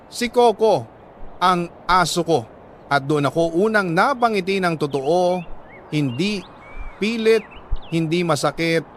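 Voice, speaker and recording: A Filipino man narrating a first-person story dramatically, in a sentimental, deliberate delivery with short pausing phrases. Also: on the high side, fairly bright tone, slight background noise. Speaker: radio storyteller reading a listener's letter.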